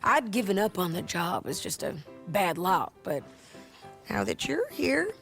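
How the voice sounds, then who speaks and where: An actress playing a hardened, wry frontier bounty hunter in a western video game, drawling with an American Southern accent. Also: female voice, medium pitch, sing-song, measured pace, creaky, a bit bright, soft background music, full character voice. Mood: bitter, matter-of-fact.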